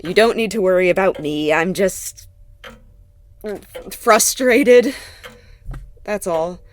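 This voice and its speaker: An actress playing a wry, guarded woman in a naturalistic audio drama, talking haltingly and gently. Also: on the low side, expressive. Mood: frustrated, wistful. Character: Sophie, warm, wry, guarded woman mechanic.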